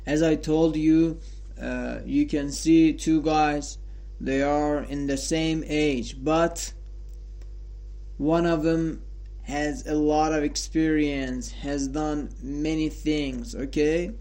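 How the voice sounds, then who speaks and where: A young man in his twenties talks on his own about a favourite quote. He speaks very slowly, with a slightly high voice, and stops often to search for words. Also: fairly even intonation, dark tone. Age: 20s. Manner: slow and halting, many uh fillers and restarts. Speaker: host of a quote podcast. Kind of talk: casual solo talk. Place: sitting at home in front of a mirror.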